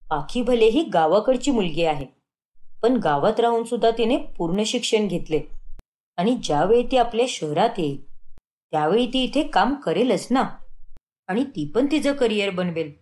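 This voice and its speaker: A woman's voice: medium pitch, darkish tone, lightly acted. A woman voicing an earnest, pleading young man in love, a son in a cartoon moral story.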